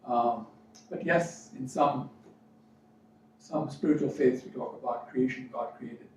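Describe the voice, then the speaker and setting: A man from Fiji, educated in New Zealand, gives a motivational spiritual talk as a coach, speaking deliberately with emphatic repetition. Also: mid-range voice, steady tone, a little mellow. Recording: very echoey room, distant mic, dull sound, faint noise.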